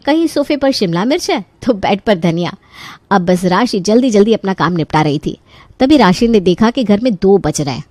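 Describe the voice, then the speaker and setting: A woman's voice: mid-range voice, lively intonation, normal speed, dark tone, no echo. A woman narrator reading a scripted story in a storytelling style.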